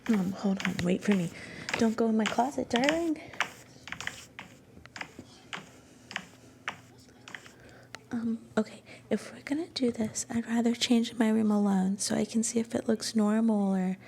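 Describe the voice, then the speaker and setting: A woman plays an affectionate, bashful young student girlfriend in an intimate ASMR audio drama. Her voice is high and mellow, with a noticeable creak at the edges. She talks softly and hesitantly, often dropping to a breathy whisper. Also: normal speed, a little hiss or hum. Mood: flustered, coy. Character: shy, sweet, affectionate young Japanese university student girlfriend. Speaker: ASMR roleplay performer. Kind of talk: soft-spoken ASMR girlfriend roleplay.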